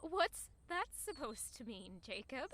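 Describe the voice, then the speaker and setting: A woman's voice: high-pitched, big pitch swings, slow, fairly bright tone, a little hiss or hum, full character voice. An actress playing an earnest, dramatic young woman in an audio drama. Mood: defensive.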